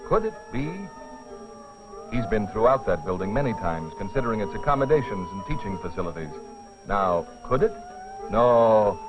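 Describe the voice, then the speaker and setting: A man giving grave, urgent scripted narration in the dramatic style of an educational training film. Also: lowish voice. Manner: grave, urgent storytelling delivery. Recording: dull, lo-fi old educational film soundtrack. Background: dramatic background music.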